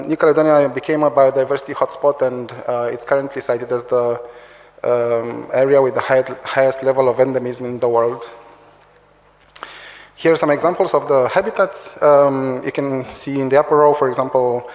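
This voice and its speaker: A man's voice: mid-range voice, fairly even intonation, normal speed, a little mellow. A man with a Romanian accent giving a conference talk, hesitant with many fillers and restarts. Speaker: conference speaker, researcher. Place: large lecture hall. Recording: roomy long hall reverb.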